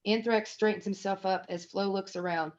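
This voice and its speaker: A woman's voice: medium pitch, steady tone, moderate pace. Stage-direction narrator for a table read: female, mid-range voice, scripted reading, dull lo-fi sound.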